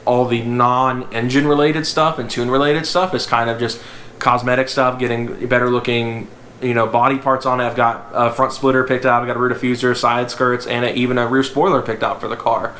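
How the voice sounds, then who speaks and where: A young man with a slightly hoarse voice, talking casually to camera about his car, rambling and filler-heavy. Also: mid-range voice, steady tone, normal speed, a bit bright. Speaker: car vlogger. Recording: echoey room with faint background noise.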